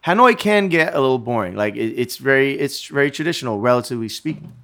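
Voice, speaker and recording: Casual male talker, average pitch, punchy swearing travel anecdotes. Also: quick pace. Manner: animated, swearing, self-deprecating storyteller. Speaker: traveler in conversation. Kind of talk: casual chat.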